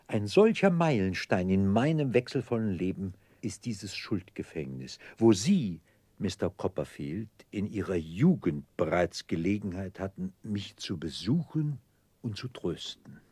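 An actor playing a pompous, theatrical middle-aged debtor clerk in despair, declaiming in florid oratory in a 1960s radio play. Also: male voice, low voice, sing-song, a little slow, dark tone, slightly rough, no echo, exaggerated voice. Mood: wounded, melancholy.

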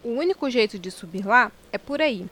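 A woman's voice: a little high, animated, a little fast, a bit bright. A woman playing a bossy, sarcastic, impatient character in an audio drama, with a Brazilian accent and slangy speech. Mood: stern.

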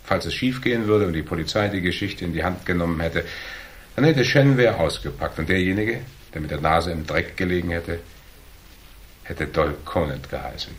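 A male actor plays a hardboiled investigator with a low, deep voice in a German radio crime drama. He is cool and shrewd, setting out each point with dry, measured, needling precision. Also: a little hiss or hum, close to an everyday voice. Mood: needling, explanatory.

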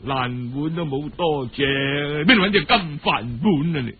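A man voicing a sharp-tongued peasant wife in a told story, scolding scornfully in blunt slang. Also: a little high, measured pace, slightly rough. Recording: muffled, phone-like old radio recording.

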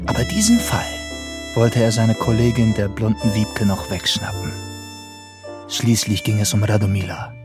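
An actor playing a pulpy crime-novel narrator in a radio drama, savouring phrases with dramatic pauses. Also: male voice, fairly low pitch.

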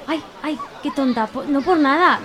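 A woman's voice: high voice, normal speed, fairly bright tone, low-quality recording. A woman playing a shy, lovesick market vendor who sighs and stammers nervously, over crowd murmur. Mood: bashful. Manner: bashful, sighing, nervous. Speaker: character in a radio serial.

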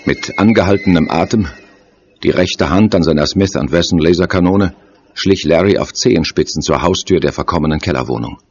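A man narrating a lurid horror radio play gravely and dramatically from a script. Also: low voice, brisk, a little hiss or hum. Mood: suspenseful. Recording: dull muffled audio over music.

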